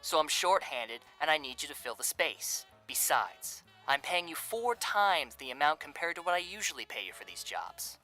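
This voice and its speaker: An actor playing a slangy, falsely genial and menacing 1930s crime boss in a radio drama. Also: male voice, a little high, sing-song, moderate pace, few pauses, bright, forceful tone, soft background music. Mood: businesslike, dismissive. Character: smooth, menacing male crime boss of Depression-era New York.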